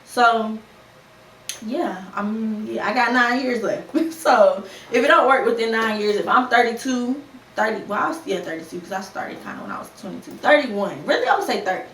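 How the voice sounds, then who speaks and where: A woman's voice: fairly high pitch, normal speed, recorded from a distance. A young woman in her twenties hosts a casual podcast, speaking frankly and conversationally with plenty of slang. She sits a little away from the mic in a roomy space, with faint ambient noise underneath. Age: 20s. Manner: candid and conversational, with lively put-on quotes and slang. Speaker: podcast host. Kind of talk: casual solo podcast talk.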